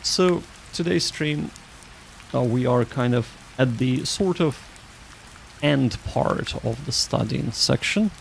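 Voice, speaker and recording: A man hosting a livestream, chatting casually with viewers in a halting, rambling way that often restarts sentences. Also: mid-range voice, animated, gravelly, slight background noise. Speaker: livestream host.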